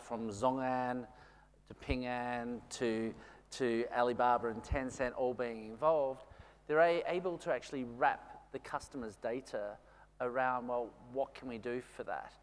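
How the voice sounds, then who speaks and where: A man moderating a conference panel, enthusiastic and quick to affirm the panelists. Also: mid-range voice. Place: large conference stage. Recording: long hall reverb.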